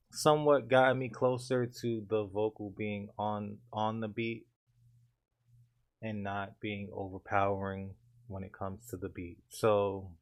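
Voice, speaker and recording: A man with a deep voice calmly walking through his home studio mixing setup in a casual tutorial. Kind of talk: casual screen-share tutorial.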